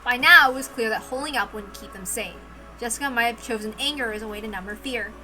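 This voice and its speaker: Female narrator for a mystery visual novel, higher register, dramatic scripted read with music behind. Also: fast talker, poor audio.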